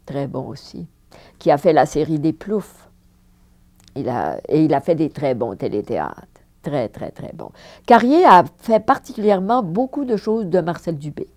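An older woman from France with a Parisian accent, her low, mellow voice rising and falling a great deal. She speaks emphatically, repeating words for stress, as an actress looking back on her career in a sit-down interview at home. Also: normal speed. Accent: Parisian French. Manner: animated, emphatic repetitions, frequent laughs. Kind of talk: reminiscing interview. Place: living room with fireplace, indoors.

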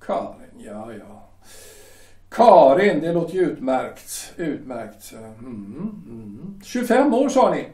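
Pompous shipyard boss, as an actor plays him, high, swooping voice, heard from a distance in an echoey room. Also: male voice, very animated, dark tone. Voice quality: hesitant, drawn-out, insinuating. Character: pompous male shipyard director, ingratiatingly menacing. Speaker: shipyard director. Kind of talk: audio drama acting.

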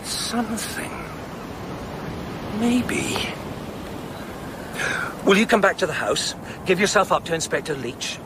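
A resolute, protective former policeman in a radio drama. His voice sits a little high and he persuades earnestly, in halting phrases. There is clear outdoor noise behind him. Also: very animated, full character voice. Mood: resolute, coaxing. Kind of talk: radio play acting.

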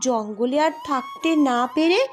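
A woman voicing a quick-witted young eldest sister in a cartoon, protective of her sisters, persuasive and sometimes scolding. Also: high-pitched. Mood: covering up. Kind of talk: animated cartoon story dialogue. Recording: music under the voice.

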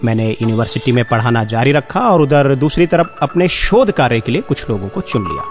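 एक आदमी के अभिनय में गरिमामय वैज्ञानिक, जीवंत उतार-चढ़ाव. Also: male voice, medium pitch, lively intonation, a little fast, soft background music, lightly acted. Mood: earnest, proud. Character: Henry Gilman, blind American chemist recounting his life, dignified. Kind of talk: dramatized first-person radio narration.

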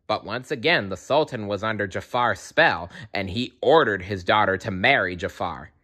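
A man reading a children's storybook aloud in an animated style, with playful asides to the listener. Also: lowish voice, moderate pace. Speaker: storybook reader.

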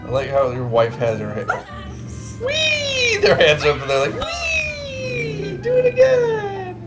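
A man's voice: very high voice, huge pitch swings, slow pace. A man in his thirties trading crude jokes on a couch while playing a video game with friends. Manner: crude, joking, laughing. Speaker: let's play co-host. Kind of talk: casual gameplay banter. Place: living room, on a couch. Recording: game music playing underneath.

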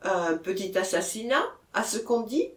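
A man's voice: high voice, animated, normal speed, a bit bright, reverberant, pitched above the performer's own voice. A man voicing a jovial male doctor in an audiobook, teasing and casually questioning.

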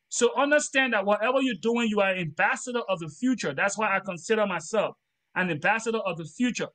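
A man's voice: high voice, sharp tone, rough recording. A middle-aged man from Liberia with a Liberian accent, giving an earnest, preachy motivational talk during a training lesson and repeating his phrases for emphasis.

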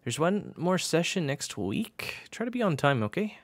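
A man voicing a gruff, sardonic male baseball coach with a brusque, casual drawl in an audiobook story. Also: a little high, very animated, normal speed, pitched above the performer's own voice. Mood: dismissive.

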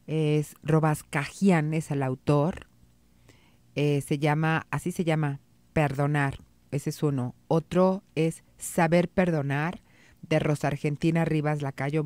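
A middle-aged Mexican woman hosting an advice radio show from a studio, calm and reassuring, with a head cold. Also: sits low, no room sound. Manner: calm, reassuring, reads listener messages aloud. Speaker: radio psychologist host. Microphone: studio mic on boom arm.

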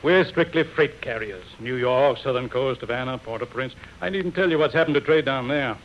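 An actor as a middle-aged gentleman of business in an old radio play. He is courteous but uneasy and halting, at a medium pitch. The recording is dull and noisy. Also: lightly acted. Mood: hesitant, uneasy. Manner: polite, hesitant. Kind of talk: 1930s radio acting.

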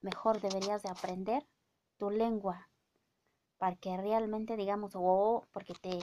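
Mazatec-accented woman in her thirties, unhurried, scolding vlog rant into a phone. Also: mid-range voice, flat delivery, mellow, a little hiss or hum. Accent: Mazatec-accented Mexican Spanish. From Oaxaca, Mexico. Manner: indignant, scolding, drawn-out speech with many fillers. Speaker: vlogger. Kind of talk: casual opinion rant to camera. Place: small indoor room with bookshelves. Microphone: phone camera at arm's length.

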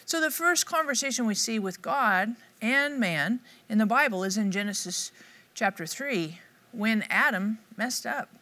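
A middle-aged woman speaking to camera with a bright, forward voice. Her delivery is upbeat and conversational. She teaches like a devotional host. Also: on the high side, animated, a little slow. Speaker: Bible teacher. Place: dark studio set, indoors.

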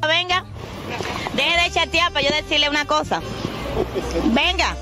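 A woman's voice: high-pitched, animated, unhurried, a loud crowd. A woman interviewing passers-by on a city street with a handheld mic, teasing and playful. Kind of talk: street vox-pop interview. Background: music bed.

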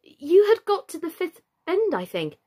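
A woman's voice: extremely high pitch, big pitch swings, a little slow, dark tone, pitched above the performer's own voice, full character voice. A woman voicing a polite, eager young girl in a storybook, light and girlish, with an earnest, pleading manner. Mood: humble, pleading. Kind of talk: children's storybook character reading.

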